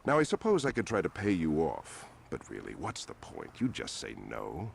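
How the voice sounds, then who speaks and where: An actor playing a smug, scheming middle-aged politician in a video game, delivering a genteel, condescending, talkative villain monologue. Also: male voice, sits low, wildly swooping pitch, normal speed, creaky, slight background noise, full character voice.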